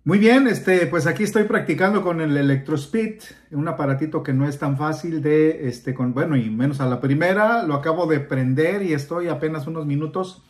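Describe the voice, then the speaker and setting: Higher-voiced man chatting casually, explaining gear. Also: normal speed, hardly any pauses. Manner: colloquial, rambling, self-interrupting. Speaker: host of a gadget unboxing video. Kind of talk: casual explanatory chat to viewers.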